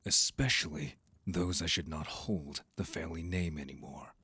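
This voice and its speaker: A man plays a cold envoy from a vampire council in an audio roleplay. His voice is very deep and husky, recorded bone dry. He is formal, curt and openly disdainful. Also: normal speed, bright, forceful tone, no echo, exaggerated voice. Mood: bitter, scornful. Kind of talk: audio roleplay drama acting.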